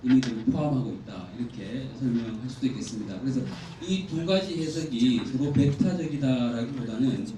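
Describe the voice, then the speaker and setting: A man giving a formal, measured academic presentation from slides at a conference, explaining point by point. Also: medium pitch. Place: indoor conference hall. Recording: echoey hall, distant mic, faint noise.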